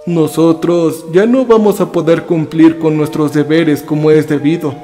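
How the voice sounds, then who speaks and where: A man voicing an elderly professor of ninety-two, cold and persuasive, speaking slowly. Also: on the high side, fairly even intonation, dark tone, soft background music, natural voice.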